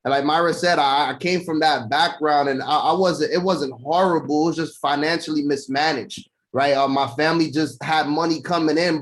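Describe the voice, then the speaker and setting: A man in his thirties from Puerto Rico, a company vice president giving a casual training talk over a video call, chatty, with lots of fillers and "right?" asides. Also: fairly high pitch. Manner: conversational, filler-heavy, frequent "right?".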